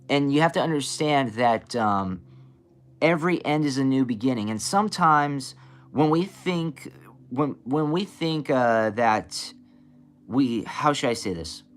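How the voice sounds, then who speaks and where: A young man with a raspy voice, a blues and soul singer, talking casually in an interview with many fillers and restarts. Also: mid-range voice, lively intonation, unhurried.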